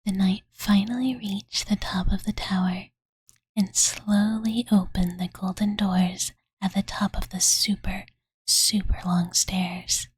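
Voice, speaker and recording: A woman voicing a cute, devoted young dragon girl who softly tells her master a bedtime story in a cozy ASMR roleplay, with cutesy, filler-heavy speech. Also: mid-range voice, steady tone, slow, rough recording. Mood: cozy, musing. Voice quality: soft breathy whisper. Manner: valley-girl filler-laden, soothing, cutesy third-person speech. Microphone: close-up studio condenser microphone, dry.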